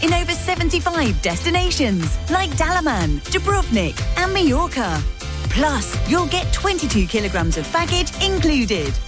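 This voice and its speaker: High, animated woman advert voice-over. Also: moderate pace. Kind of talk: upbeat promotional narration. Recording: music bed behind.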